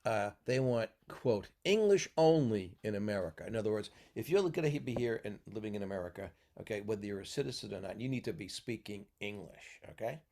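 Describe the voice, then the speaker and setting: A man's voice: on the low side, expressive, brisk, hardly any pauses, darkish tone. A man from the US Northeast talking as a mentor in a casual podcast chat, explaining at length and checking in with "okay?" after his points. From New York, US Northeast. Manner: lecturing, tags points with "okay?".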